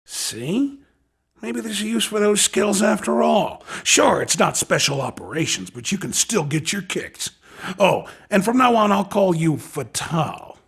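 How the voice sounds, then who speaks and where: Raspy, slangy hustler. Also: male voice, high voice, very animated, unhurried, a bit bright, heavily acted. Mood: coaxing, slick. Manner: slangy, coaxing salesman patter. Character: Skid, a hustling male street racing recruiter, cocky and slangy. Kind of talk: video game character dialogue.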